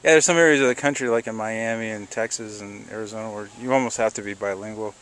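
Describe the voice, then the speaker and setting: A man's voice: on the low side, fairly even intonation, fast, fairly bright tone, slight background noise. A man interviewing people, asking questions hesitantly with many fillers.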